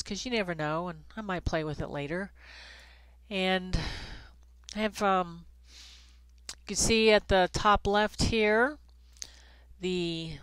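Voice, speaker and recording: A middle-aged woman with a stuffy, congested nose giving a conversational photography software tutorial, with frequent ums and restarts. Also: lowish voice, clean tone, no echo. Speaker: photography instructor. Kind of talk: screen-recorded software tutorial. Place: Kansas City, Missouri, USA.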